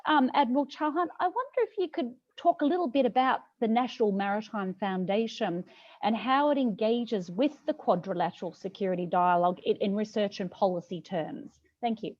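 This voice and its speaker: A woman's voice: fairly high pitch, a little mellow, lo-fi sound. A woman, hosting and introducing a guest at a formal event, reading with hesitations and restarts. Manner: hesitant, with many fillers and restarts. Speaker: event host, moderator.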